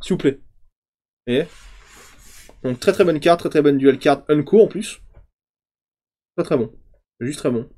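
A man in his thirties casually reviewing card previews on a stream, chatty and thinking aloud, often trailing off into quieter asides. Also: a little high, a little slow, long pauses. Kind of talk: casual game commentary. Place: home streaming room, indoors.

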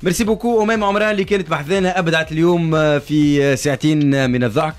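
A man's voice: on the high side, racing. A man with a Tunisian accent hosting a live radio talk show, mixing French words into his speech. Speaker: radio host. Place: radio studio. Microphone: close studio microphone on arm.

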